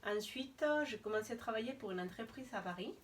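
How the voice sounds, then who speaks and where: A woman's voice: a little high, lively intonation, fast talker, recorded from a distance, slight background noise. Chatty woman in her thirties. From Spain. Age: 30s. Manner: chatty, frequent hesitation fillers. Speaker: interview guest. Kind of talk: casual conversation.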